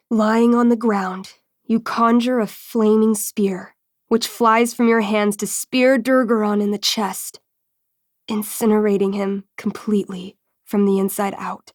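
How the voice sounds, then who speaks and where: A woman's voice: fairly high pitch, no echo, studio quality, natural voice. A woman playing a wry teenage game master, closely recorded. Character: friendly, theatrical teenage girl running a tabletop fantasy game. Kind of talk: dramatic tabletop storytelling and casual chat.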